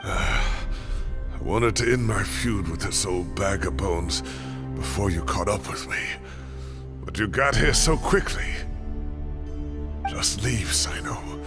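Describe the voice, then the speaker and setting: An actor playing a weary, guilt-laden old professor in a video game, speaking in a halting, regretful way with sighs. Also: male voice, lowish voice, animated, music in the background. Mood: weary, resigned. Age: older.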